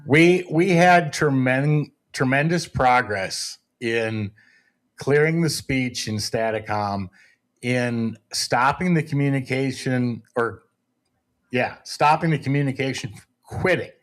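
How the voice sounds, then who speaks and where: A man in a casual conversation, speaking at a middling pitch as an investigator who explains past work. His delivery is informal and explanatory, like a guest on a talk show. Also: takes it slowly.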